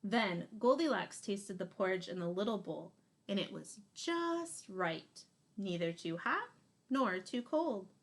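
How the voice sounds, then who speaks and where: Animated woman reading a storybook aloud. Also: medium pitch. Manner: animated, deliberate emphasis on key words. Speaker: teacher reading a picture book aloud. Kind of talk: expressive children's storybook read-aloud. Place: small room indoors.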